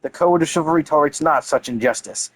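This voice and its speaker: A man's voice: on the high side, quick pace, a bit bright. Enthusiastic man reading game lore. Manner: enthusiastic, swears casually. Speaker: gaming content creator. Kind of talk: casual game commentary, reading lore aloud.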